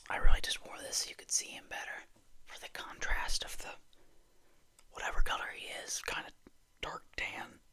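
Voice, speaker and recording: An ASMR host talking casually and hesitantly in a soft, breathy, husky whisper, rambling in a chatty way. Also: normal speed. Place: a room at home.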